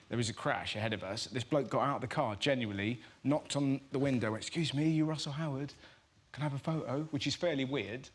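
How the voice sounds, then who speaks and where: A man on a chat show telling a comic anecdote in a brisk, animated way. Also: medium pitch. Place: TV studio with live audience. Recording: loud studio audience, heavy noise.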